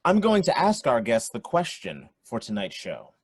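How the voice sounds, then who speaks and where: Man playing a swooping, husky game show host. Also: mid-range voice, huge pitch swings, normal speed, heavily acted. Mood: showy, upbeat. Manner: theatrical announcer delivery. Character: young man hosting a quiz show, jovial showman. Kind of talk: radio play acting, game show emcee patter.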